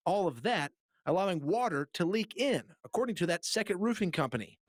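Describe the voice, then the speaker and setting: A man's voice: high-pitched, sing-song, brisk, no echo, clean studio sound. A man reporting as a TV investigative journalist, reading scripted narration for a news package.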